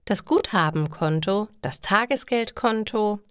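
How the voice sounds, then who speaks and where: A woman voicing a polite, helpful female bank clerk in slow, formal storybook dialogue. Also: medium pitch, expressive, clean tone, no echo, clean studio sound, close to an everyday voice.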